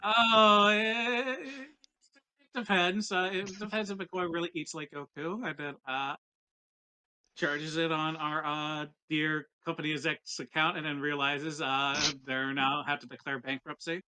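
Very bright, high-voiced man joking. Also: steady tone, measured pace, clear, resonant tone. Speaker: tabletop roleplaying player. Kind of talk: casual joking chat.

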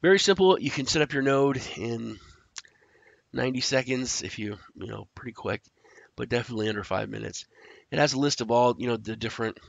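Sick stuffed-up guy rambling thru a crypto screen share, gravelly, kinda muffled lo-fi mic. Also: mid-range voice, a bit bright, no echo. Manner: rambling, with filler words and throat clearing. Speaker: crypto tutorial host. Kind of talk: casual screen-share walkthrough. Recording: dry, dull lo-fi audio.

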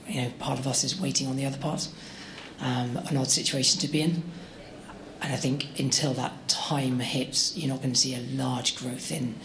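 Man, banking panelist, mid pitch, quick casual delivery, roomy sound. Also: noisy background. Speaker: bank programme manager panelist. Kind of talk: conference panel discussion. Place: large conference room with audience. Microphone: handheld mic. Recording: echoey hall, faint ambience.

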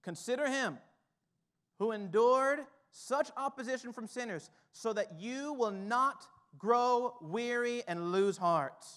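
A male pastor in his thirties preaches from a church stage through a headset mic, with a high, light voice. He moves from hushed, reflective passages up to urgent, rising exhortation. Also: takes it slowly, clean tone. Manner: builds from quiet to fired-up exhortation. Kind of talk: sermon. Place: church stage with drum kit, indoors.

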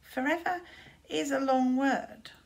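A woman voicing a wary talking raven in a storybook, slow and foreboding. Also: high-pitched, pitched above the performer's own voice. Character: wary male talking raven, bird of omen.

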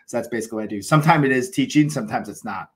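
A man's voice: medium pitch, very fast, slightly rough. An American man in his thirties hosting a casual livestream from a small room at home, rambling enthusiastically with lots of fillers and restarts. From United States. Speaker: cricket channel host.

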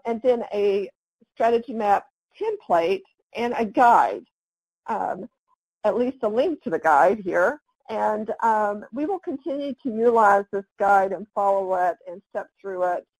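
A woman walks listeners through slides in an online webinar. She talks at a middle pitch and pauses often with "um" as she finds her words. Her voice is recorded close and dry, with no room sound. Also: animated, a little mellow, breathy edge, dry recording, rough recording. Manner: halting, with frequent "um" fillers. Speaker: webinar presenter.

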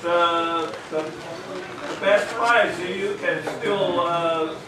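A man with a high voice talks haltingly, stopping often on fillers. He is heard from far away in an echoey room with clear background noise. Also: fairly even intonation. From Taiwan. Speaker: audience member at a meeting. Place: meeting room. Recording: echoey room, distant mic, noisy.

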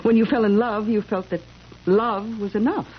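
An actress playing a probing, matter-of-fact doctor in 1970s radio drama, measured and questioning. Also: female voice, mid-range voice, steady tone, natural voice. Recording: dull, noisy 1970s radio recording.

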